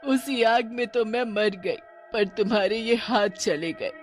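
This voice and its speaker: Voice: mid-range voice, measured pace, faint music behind, close to an everyday voice. A man voicing a vengeful witch mother who runs a food stall, in a theatrical, commanding cartoon horror style. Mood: bitter. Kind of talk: Hindi animated horror story dialogue.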